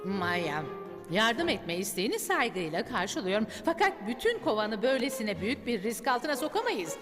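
A cartoon bee queen who speaks with calm, stately authority. Her pitch is middling but moves with expression. The sound has a long reverb, and music plays behind it. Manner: measured, stately. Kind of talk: Turkish cartoon dub.

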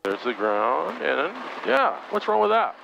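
Male flight instructor, gravelly voice, calm coaxing commands, muffled headset intercom, faint engine hum behind. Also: fairly high pitch, unhurried. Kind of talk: in-flight coaching. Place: helicopter cockpit in flight. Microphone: aviation headset intercom.

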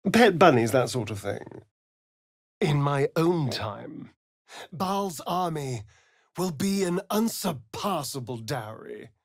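A man with an English accent playing a sardonic, vain elven vampire rogue in a video game, arch, mocking and theatrical, with a breathy voice. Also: on the high side, lively intonation, takes it slowly, dry recording, studio quality, heavily acted. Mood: grand, measured. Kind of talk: video game character voice lines, theatrical delivery.

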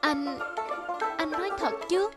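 A woman's voice: high-pitched, slow pace, loud music behind the voice. A woman voicing an insecure, vulnerable young bride in a cartoon. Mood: moved. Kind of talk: cartoon dialogue.

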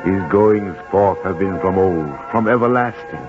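A solemn, reverent king of the east in an old radio play. His low voice proclaims each phrase very slowly. Music plays strongly behind him on the muffled recording. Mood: reverent proclamation. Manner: stately, declamatory. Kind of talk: 1940s radio drama acting.

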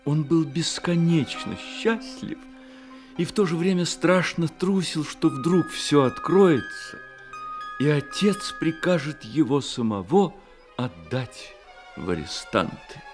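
A man narrating a literary radio drama as an expressive, measured storyteller. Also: fairly high pitch, over music. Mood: expressive storytelling. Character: storyteller of a boy's secret adventure.

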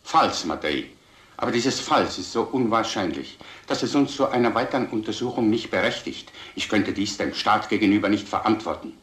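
A man plays an authoritative police commander in a vintage crime film. His very low voice is formal and dismissive. It was recorded with a roomy echo. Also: moderate pace, a bit bright, natural voice. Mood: stern, dismissive. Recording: roomy old film soundtrack.

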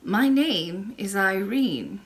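A woman voicing a very old, kindly and mysterious royal great-great-grandmother in a storybook, speaking in a calm, measured way. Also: medium pitch. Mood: calm, knowing. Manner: measured, gently assured.

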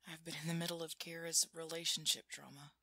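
Woman acting a breathy, whispering, vulnerable girlfriend. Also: sits low, level tone, pushed and bright, dry recording, clean studio sound, full character voice. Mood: soothed, apologetic. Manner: halting, stammering, intimate. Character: shaken, affectionate girlfriend woken by a nightmare. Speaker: audio roleplay performer. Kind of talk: ASMR girlfriend roleplay, whispered.

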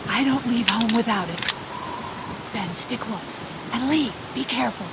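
High, urgent, resolute young woman. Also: a little slow. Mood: worried resolute. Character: resolute, worried young woman ready to fight. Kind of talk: dramatic video game acting. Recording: clear hiss and rain noise.

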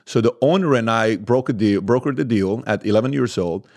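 A man in his forties from Iran, a native Assyrian speaker, telling stories as a podcast guest in a studio, animated and joking. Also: on the low side. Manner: animated storyteller, quick asides and self-deprecating jokes. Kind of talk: casual interview storytelling. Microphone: studio mic with headphones.

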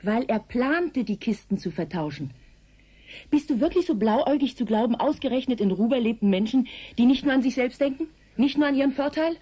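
Urgent, husky woman researcher. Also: a little high, animated, brisk, mellow. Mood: dark foreboding. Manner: urgent, brooding, insistent. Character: grave, alarmed woman researcher warning of vengeful plants. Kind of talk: 1980s German radio drama acting.

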